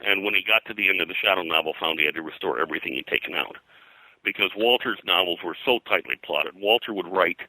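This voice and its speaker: An American man with a lowish, sharply bright voice, recorded dry and close. He talks as an expert guest in an interview, piling up details and drifting into side stories as he goes. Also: quick pace, no room sound, poor audio. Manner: rambling, digressive, detail-heavy. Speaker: interview guest, pop culture historian and publisher. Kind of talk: conversational interview, telling detailed anecdotes.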